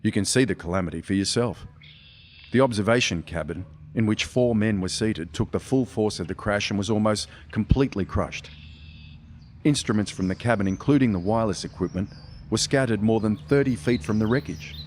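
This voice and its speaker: A man's voice: lowish voice, fairly quick, a little mellow, a little hiss or hum, natural voice. A man playing a weary military investigator in a community radio play, grave and methodical with some faltering.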